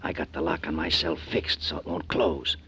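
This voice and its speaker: An actor playing a hard, suspicious convict leader in a 1940s radio crime drama, talking in terse, bossy gangster slang. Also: male voice, very deep voice, steady tone, moderate pace, creaky, pushed and bright, heavily acted. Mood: conspiratorial. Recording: noisy 1940s radio recording.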